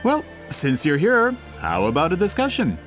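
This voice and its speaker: A smooth-talking gentleman schemer with excessive courtesy. The voice is fairly high for a man and swoops theatrically in formal, flattering game dialogue. Music plays behind it. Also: moderate pace. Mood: apologetic, smooth. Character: suave, scheming gentleman employer and collector, falsely courteous. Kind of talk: anime-style video game voice acting.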